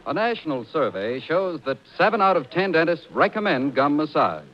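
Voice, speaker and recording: Higher-pitched lively male commercial announcer. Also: moderate pace, slight background noise. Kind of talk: scripted 1940s radio advertising. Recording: muffled 1940s radio broadcast.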